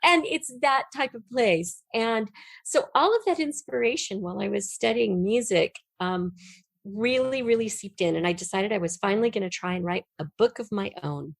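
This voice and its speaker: Woman gushing away in an interview, chatty storyteller, mid pitch, really dry sound. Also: expressive, moderate pace, gravelly, dry recording. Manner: effusive, enthusiastic. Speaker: interview guest, writer. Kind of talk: animated conversational storytelling.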